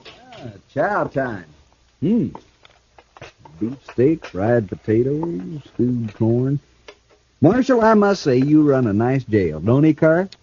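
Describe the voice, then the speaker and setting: An actor playing a cool, smart escaped killer and thief in a 1950s radio drama, talking with a smooth, taunting ease. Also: male voice, medium pitch, very animated, slow, dark tone, slight background noise. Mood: smug, taunting. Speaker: outlaw prisoner. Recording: dull old radio broadcast recording.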